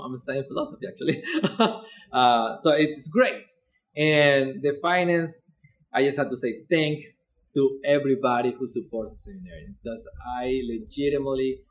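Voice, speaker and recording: A man's voice: medium pitch, poor audio. A young man from Ecuador with an Ecuadorian Spanish accent, a seminarian earnestly telling his vocation story with fillers and repeated words.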